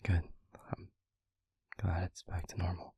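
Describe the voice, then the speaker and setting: A man playing a tender, devoted demon lover in an intimate audio roleplay, speaking gently and hesitantly in a husky near-whisper. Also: sits low, flat delivery, no room sound, heavily acted. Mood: tender, concerned. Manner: gentle, hesitant, slow and deliberate.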